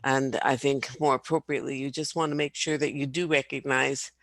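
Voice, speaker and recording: Older man, gravelly voice, earnest and measured, dry close sound. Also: medium pitch, flat delivery, fairly bright tone, no echo. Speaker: workshop participant. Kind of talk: casual discussion.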